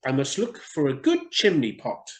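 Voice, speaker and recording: A man's voice: mid-range voice, wildly swooping pitch, normal speed. A man voicing a small, vain, self-important swallow in a storybook, with a fussy, exclamatory way of talking. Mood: grumbling.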